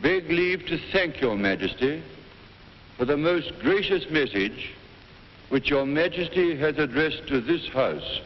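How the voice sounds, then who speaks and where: A British man giving a formal ceremonial address in stately, measured phrases. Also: on the high side, lively intonation. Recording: echoing large hall, dull hissy archive film.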